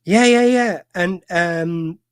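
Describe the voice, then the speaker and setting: Man, host, fairly high pitch, rambling, halting, lots of fillers, dry close sound. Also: smooth, dry recording. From United Kingdom. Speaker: podcast host. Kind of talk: casual film discussion. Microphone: video call mic.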